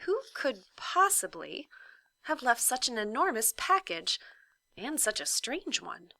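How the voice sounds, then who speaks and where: A woman reading a children's story aloud like a teacher, with deliberate, emphatic phrasing and dramatic hushes. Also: on the high side, big pitch swings, gravelly, no room sound.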